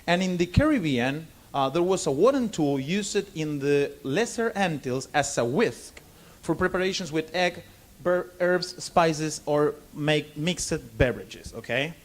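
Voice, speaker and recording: A man from Argentina with a Spanish accent, giving an informal lecture in halting English and often checking in with "okay?". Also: fairly high pitch, expressive. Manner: halting non-native English, frequent "okay?" check-ins. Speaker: bartender giving a seminar. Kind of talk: informal lecture to a live audience.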